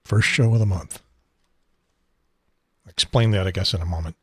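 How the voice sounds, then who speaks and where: Husky-voiced seventy-year-old American man. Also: sits low, no room sound, studio quality. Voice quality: husky, unsteady voice. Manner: rambling, halting, wry. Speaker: talk show host. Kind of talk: casual unscripted solo talk show.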